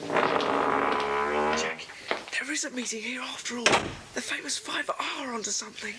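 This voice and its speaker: A woman playing an anxious, well-spoken schoolboy, earnest and polite with a husky voice, in a children's audiobook drama. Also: medium pitch, sing-song, a little slow, heavy background noise. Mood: hushed, anxious. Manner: earnest, polite, old-fashioned schoolchild phrasing.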